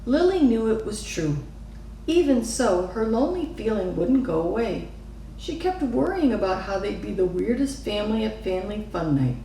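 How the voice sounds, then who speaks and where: A woman reading a children's story aloud in an expressive narrating style. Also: mid-range voice, moderate pace. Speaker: storybook reader. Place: reverberant room, away from the mic. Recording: echoey room, distant mic, faint noise.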